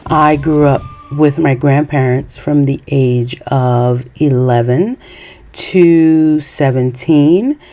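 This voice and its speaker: A woman podcast host, born in Haiti and raised in New York, giving a measured, earnest personal monologue. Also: sits low, warm tone.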